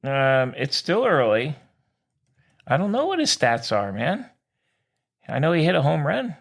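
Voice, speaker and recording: A man co-hosting a sports podcast, joking and animated, repeating short phrases with buddy-style slang. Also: on the high side, unhurried, creaky. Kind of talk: casual sports banter.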